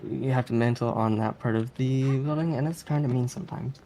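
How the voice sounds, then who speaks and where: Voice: mid-range voice, brisk, dark tone, slight background noise. A speedrunner giving casual live commentary in a hesitant, chuckly, playful way. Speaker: speedrunner commentating on their own game run. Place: live streamed event, voice over gameplay audio.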